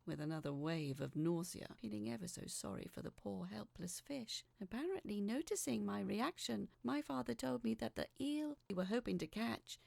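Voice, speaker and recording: A woman reading a scripted dramatic story as narrator, measured, with phrases falling away into a hush. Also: medium pitch, expressive, gravelly, dry recording. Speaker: storyteller reading a letter aloud.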